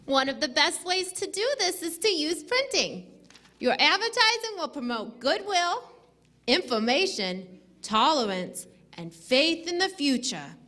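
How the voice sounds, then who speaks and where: A woman playing a lively sponsor announcer, reading scripted 1940s radio advertisements. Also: high voice, a little slow, full character voice. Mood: cheery selling.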